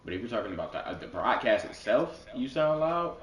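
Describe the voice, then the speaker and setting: A man's voice: medium pitch, very animated, brisk, echoey room, recorded from a distance. A man in a casual online chat, patiently explaining mic settings and repeating himself. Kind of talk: casual chat giving audio advice. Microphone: gaming headset.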